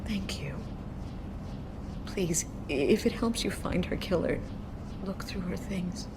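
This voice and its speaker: An actress playing a grieving, weary mother in a video game, speaking in a soft, halting, emotional way. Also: lowish voice, animated, in a whisper. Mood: grief-stricken. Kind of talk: dramatic video game acting.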